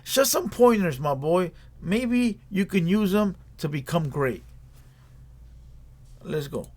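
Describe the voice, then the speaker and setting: A man's voice: high voice, lively intonation, slow, darkish tone. A man hosting a reaction video, giving casual commentary in a blunt, slangy, repetitive lecturing way.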